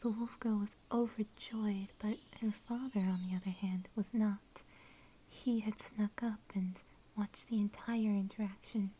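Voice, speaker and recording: A woman voicing a shy, sweet young werewolf girl in an ASMR roleplay, speaking in soft, breathy whispers with a gentle, tender manner. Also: medium pitch. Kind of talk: ASMR roleplay, intimate storytelling.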